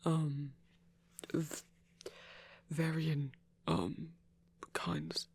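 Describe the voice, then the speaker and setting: A man plays a shy, smitten young hunter in an intimate audio drama. His voice is breathy and husky with some gravel, unhurried and halting, full of awkward stammers. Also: on the high side, flat delivery, a little mellow, heavily acted. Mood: awkward, musing. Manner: hesitant, stammering, nervous little laughs. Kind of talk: intimate ASMR audio roleplay.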